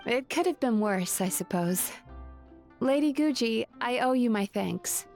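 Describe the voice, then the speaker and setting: An actress voicing a composed, earnest young businesswoman in a video game, speaking in a calm, measured, sincere way. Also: fairly high pitch, faint music behind.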